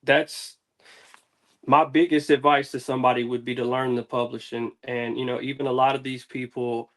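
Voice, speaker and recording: A man's voice: medium pitch, flat delivery, normal speed, fairly bright tone. A man chatting casually as an interview guest, laid-back, slangy and rambling. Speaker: interview guest, music artist. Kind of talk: casual chat.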